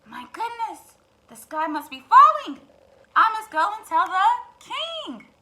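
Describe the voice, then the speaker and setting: A woman voicing an anxious, excitable female hen in a storybook, fretful and dramatic, full of exclamations. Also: very high-pitched, slow pace, voice pushed up, full character voice. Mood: panicked, urgent.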